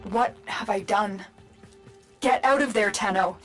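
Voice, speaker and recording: A solemn female mystic from a video game, voiced by an actress, grave and troubled. She speaks slowly and with dramatic weight, with prominent music behind her. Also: medium pitch, fairly bright tone, natural voice. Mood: alarmed. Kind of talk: video game character acting.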